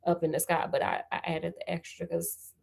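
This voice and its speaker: A woman's voice: fairly low pitch, fairly even intonation, moderate pace, creaky, darkish tone. An American woman reading a storybook aloud as host, with sassy, conversational asides to her listeners. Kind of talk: storybook read-aloud with casual running commentary.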